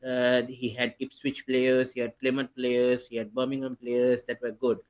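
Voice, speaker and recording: An eager man in casual podcast chat. He talks at a medium pitch, circles back and rephrases as he goes, and keeps his enthusiasm up throughout. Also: completely flat delivery, moderate pace, darkish tone. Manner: enthusiastic, often restarts sentences. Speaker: podcast co-host. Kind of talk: casual fantasy football chat.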